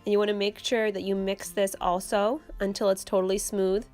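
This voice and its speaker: A young woman hosting her own channel, explaining a homemade recipe step by step in a relaxed, casual way from her kitchen. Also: medium pitch, a little fast, faint music behind. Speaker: channel host. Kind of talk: casual how-to vlog. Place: home kitchen.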